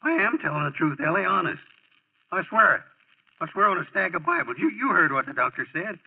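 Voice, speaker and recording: A man's voice: high voice, big pitch swings, brisk, husky, heavily acted. An actor playing a folksy, slangy, protective male friend in a 1940s-style radio drama. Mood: nervous bluffing. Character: loyal sportswriter friend, folksy, reassuring, protective man. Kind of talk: 1940s radio acting, dialogue and narration. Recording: muffled old radio broadcast recording.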